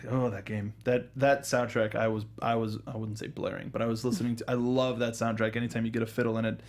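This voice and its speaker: Male panel host, mid-pitched, chatty and enthusiastic, thinks out loud with frequent false starts. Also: level tone. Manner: halting, often restarts sentences mid-thought; enthusiastic fan talk. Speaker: host of a film review show. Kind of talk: casual panel discussion of films and games.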